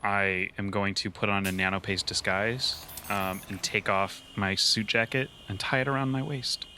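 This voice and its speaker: A man's voice: lowish voice, steady tone, moderate pace, bright, forceful tone, slight background noise. A man playing a tabletop role-playing game, narrating his character's actions casually with a wry manner.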